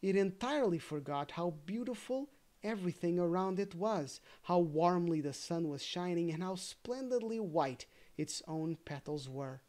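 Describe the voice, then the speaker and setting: A storybook reader telling a fairy tale in a careful, gently expressive way, with casual asides. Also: medium pitch, normal speed.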